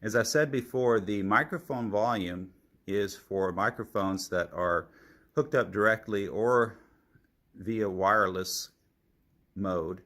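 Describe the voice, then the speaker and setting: A man with a fairly low voice presents a product demonstration. He speaks very slowly and explains each point methodically, in the measured style of a careful reviewer. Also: a bit bright.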